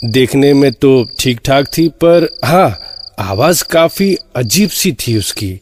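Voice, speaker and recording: A man playing a TV writer who tells his own horror story in a conversational, expressive way. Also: mid-range voice, slow pace, background noise. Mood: reflective. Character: puzzled male Mumbai TV writer recounting eerie experience. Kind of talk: first-person horror drama narration.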